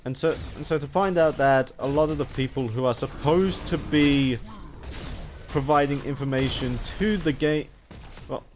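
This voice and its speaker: A man giving unscripted, rambling commentary as a gaming video host, halting and often restarting his sentences mid-word. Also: mid-range voice, darkish tone. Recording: home recording with background noise.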